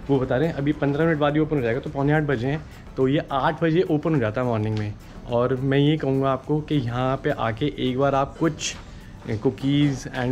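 A man's voice: mid-range voice, darkish tone, a little hiss or hum. A man in his forties walking through old streets, talking enthusiastically to a handheld camera as a travel vlogger. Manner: chatty, enthusiastic tour-guide talk mixing English words. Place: outdoors on quiet old town streets. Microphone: handheld camera at arm's length. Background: faint street ambience and vehicles.

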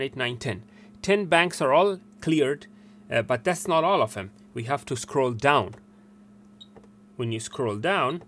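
An older man with a mid-pitched voice walks through technical instructions step by step. He explains in a halting manner, recorded in a small workroom with clear background noise. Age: older, around 60. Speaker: tutorial presenter, technician. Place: small cluttered indoor workroom. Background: steady room noise.

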